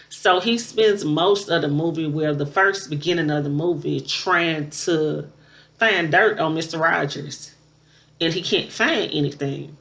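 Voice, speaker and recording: A woman's voice: deep voice, creaky. A woman aged thirty-nine chatting casually and unscripted to her viewers as a vlogger, with emphatic, conversational phrasing. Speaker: vlogger reviewing a movie. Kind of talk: casual unscripted chat to camera.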